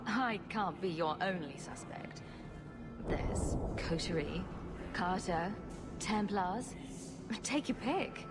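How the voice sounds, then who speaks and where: An actress playing a bold, quick-witted female rogue in a video game, with a dry, sardonic delivery. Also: fairly low pitch, animated, loud music behind the voice. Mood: wry, challenging.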